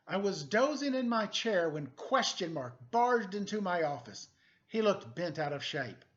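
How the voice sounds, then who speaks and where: Dull-sounding recording, playful higher-voiced male storyteller. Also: very animated, unhurried, a bit bright. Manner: playful, quizzing young listeners. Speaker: story-time teacher reading a picture book aloud. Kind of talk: read-aloud storytelling for children.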